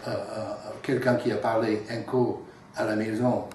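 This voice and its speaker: A man whose first language is English, answering interview questions in careful second-language French, measured and trailing off softly at phrase ends. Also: lowish voice, level tone. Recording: echoey room, from far off, faint noise.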